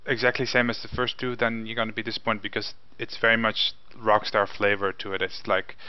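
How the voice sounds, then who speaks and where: A man's voice: fairly low pitch, little pitch movement, brisk, smooth. A young man whose first language is Norwegian, co-hosting a casual gaming chat over a headset mic from his home, rambling with restarts and fillers. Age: young adult. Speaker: co-host. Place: home room with window. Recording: dull lo-fi webcam call audio.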